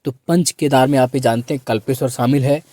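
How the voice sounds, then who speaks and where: A man with a hoarse, sore-throated voice teaching a quiz lesson for exam students, repeating questions and prompting listeners to answer. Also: medium pitch, steady tone, fast, mellow, dry recording.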